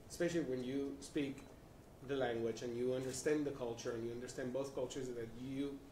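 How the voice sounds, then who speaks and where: A man on a discussion panel speaking in a thoughtful, halting way. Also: medium pitch, a little mellow, recorded from a distance, a little hiss or hum. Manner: thoughtful, halting, restarts phrases. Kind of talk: reflective panel talk. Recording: roomy, from off-mic.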